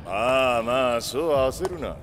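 Slow-drawling, gloating gangster villain. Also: male voice, mid-range voice, animated, music in the background. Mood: gleeful, taunting. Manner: mocking, drawling, theatrical. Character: gloating sadistic gangster boss, greedy and taunting. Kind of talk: dramatic video game villain acting.

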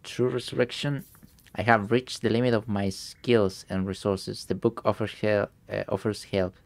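A young man commentates his gameplay while sick, and the illness drags his voice lower than usual. His tone has a gravelly, fry-laden edge. He reads in-game notes aloud in halting bits, full of fillers, speaking English as a second language. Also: flat delivery, dry recording. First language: not English. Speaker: gameplay streamer. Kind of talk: let's play commentary.

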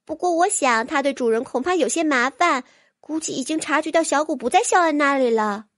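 Squeaky, playful little fairy. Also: female voice, lively intonation, a little fast, dry recording, clean studio sound. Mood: concerned. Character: cheeky, giggly little fairy sprite with a childlike voice. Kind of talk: audiobook character voice.

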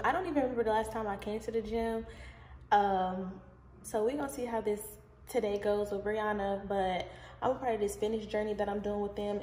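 A young woman talks casually to her viewers as a vlogger, with an average-pitched voice. She records on a phone held at arm's length, and there is clear noise around her. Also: mellow. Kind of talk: casual vlog chat.

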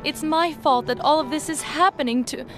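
A young genie girl from a video game, played by a woman. Her voice is high, earnest and polite, with a formal, devoted manner. Loud music runs behind her. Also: moderate pace, close to an everyday voice. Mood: gentle, earnest. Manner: soft-spoken, polite, formal. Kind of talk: video game cutscene acting.

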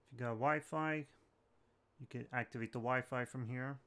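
Clear-voiced man giving a casual tutorial. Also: mid-range voice, fairly even intonation, normal speed, bright, forceful tone. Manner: informal, plain-spoken. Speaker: tech tutorial host. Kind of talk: casual step-by-step tutorial narration.

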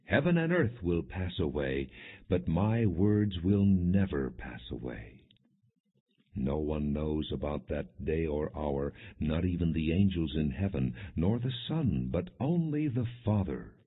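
A man narrating an audiobook of scripture in a solemn, measured, earnest way, with a husky voice. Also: a bass voice, very animated. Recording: muffled, phone-like narrow sound.

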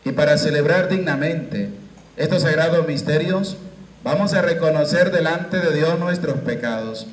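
A man in his thirties, a priest leading Mass into a handheld microphone in a small chapel, with a slow, measured liturgical cadence. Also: fairly high pitch. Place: small chapel with curtained walls. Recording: echoey chapel room.

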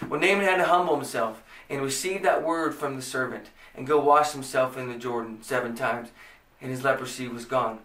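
A man's voice: mid-range voice, a little fast, fairly bright tone, roomy sound, recorded from a distance. A man in his thirties with an American accent, preaching a podcast sermon with earnest emphasis. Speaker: pastor. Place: small indoor room before a church banner.

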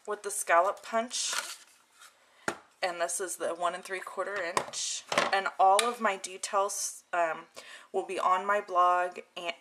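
A woman casually narrating a hands-on craft demonstration as its host, with informal, chatty phrasing. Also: fairly low pitch. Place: indoor craft desk. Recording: clear background noise with clicking.

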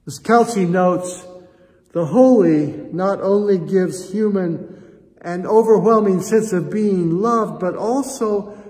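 Older guy preaching slow in a church, high voice, long echo off the walls. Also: dark tone. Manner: reflective, addresses listeners as friends, gestures with both hands. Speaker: pastor. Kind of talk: sermon. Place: church sanctuary, indoors. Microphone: camera at chest height, seated facing it. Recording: long church reverb, faint room noise.

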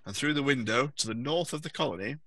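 A man's voice: mid-range voice, fast talker, pushed and bright, dry recording. A man acting as game master on a video call, casually describing scenes and giving rulings.

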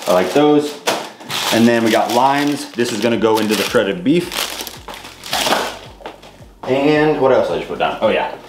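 A young man's mid-range voice rambles casually through a grocery haul, stopping often for fillers. He is recorded on a camera mic in a bare home kitchen. The room echoes, and steady background noise sits under everything he says. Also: medium pitch. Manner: loose and rambling, many fillers like "uh" and "like". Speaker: fitness vlogger.

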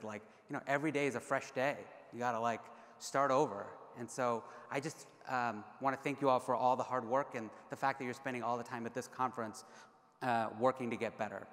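A man gives a conversational keynote speech at a podium, in a halting way full of asides. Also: mid-range voice, a bit bright. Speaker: keynote speaker. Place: large conference stage, indoors at a podium. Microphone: podium gooseneck microphones. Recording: reverberant hall, amplified podium speech.

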